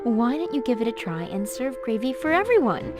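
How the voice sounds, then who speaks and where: A woman's voice: on the high side, very animated, fairly quick, over music. An actress playing a falsely doting otherworldly mother in a video game, honeyed and gushing. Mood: sweetly doting. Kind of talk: video game character acting.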